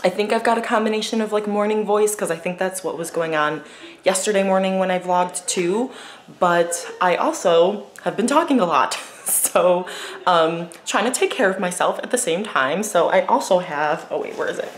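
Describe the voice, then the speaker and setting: A young woman vlogging to a camera held at arm's length in a bedroom, chatty and hoarse with a sore morning voice. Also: on the low side, moderate pace, roomy sound. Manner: chatty, laughing, lots of fillers. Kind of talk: casual vlog to camera. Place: bedroom in a rented house.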